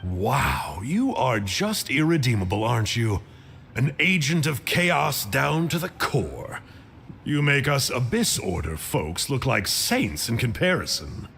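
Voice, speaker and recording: A scholarly, sly villain mage from a video game. He is smug and erudite, speaking slowly in mid-range with dramatic, swinging intonation over background music. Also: medium pitch, very animated, creaky, fairly bright tone, slight background noise, full character voice. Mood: wry. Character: bookish, sly male Abyss mage, smugly scholarly. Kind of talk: video game character acting.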